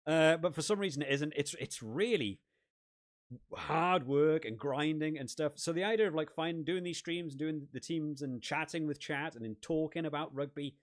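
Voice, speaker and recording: A man from the UK talks casually on a home live stream, reading and answering chat. He rambles and restarts his sentences often. His voice sounds worn and a little hoarse after a long session. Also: on the high side, moderate pace. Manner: rambling, chatty, many restarts. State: voice wearing out, hoarse. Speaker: rugby gaming channel host.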